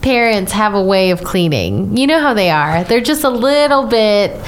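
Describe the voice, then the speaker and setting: Animated woman telling a funny story. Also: medium pitch, normal speed, a little hiss or hum. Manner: animated, acts out quoted voices. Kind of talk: comic storytelling.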